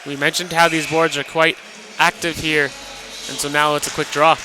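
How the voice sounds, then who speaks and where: A man's voice: on the high side, little pitch movement, normal speed, music in the background, low-quality recording. A man giving live colour commentary on ice hockey, walking through each play step by step. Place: ice rink, live broadcast position.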